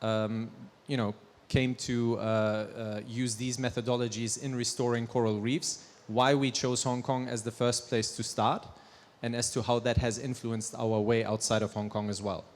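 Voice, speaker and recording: A man in his thirties speaks on a conference stage into a handheld mic, at an ordinary middle pitch. He talks in a loose conversational way, with fillers and self-corrections. His voice rings with the long reverb of a large hall. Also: flat delivery, normal speed. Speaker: company presenter. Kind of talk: conference presentation.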